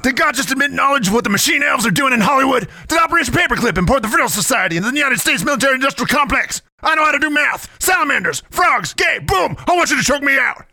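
An unhinged male conspiracy radio host rants in a parody talk show. The voice is extremely high and rattles along very fast, frantic and rambling, in a dry close sound. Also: expressive, creaky, pushed and bright, no echo, raised voice, heavily acted. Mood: manic ranting.

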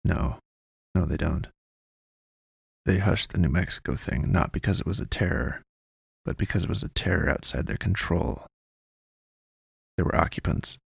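A man voicing a grave, cagey male informant with slangy, hushed delivery in a noir audio story. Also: low voice, normal speed, creaky, long pauses, husky, no room sound, studio quality, exaggerated voice.

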